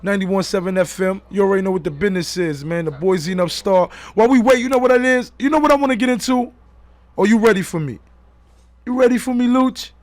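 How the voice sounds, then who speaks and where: A man's voice: high-pitched, fast talker, no echo. A man hosting a radio show with slangy, hyped-up chat in a studio. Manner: slangy, hyped-up, addresses listeners and guest as "man". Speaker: radio host.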